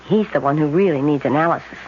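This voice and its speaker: A woman's voice: deep voice, fast talker, a little mellow, lightly acted. An actress playing a troubled, devoted young American woman in a 1970s radio drama, speaking in an earnest, emotional, hesitant way. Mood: troubled, wistful. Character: troubled, devoted young daughter, soft-hearted twin sister. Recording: muffled old radio recording with hiss.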